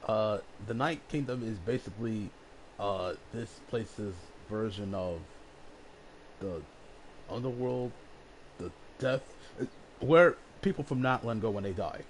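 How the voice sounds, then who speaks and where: A man's voice: lowish voice, takes it slowly, long pauses, slight background noise, rough recording. A man streaming a game and explaining the story casually, rambling with plenty of fillers. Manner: rambling, many fillers, relaxed. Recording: game music behind the voice.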